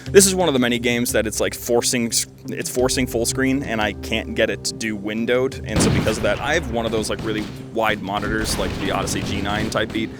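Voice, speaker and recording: A man's voice: fairly low pitch, fairly even intonation, fairly quick, over loud music. A man giving casual, joking commentary over gameplay, rambling and slangy with a self-deprecating manner. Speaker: video game reviewer and host.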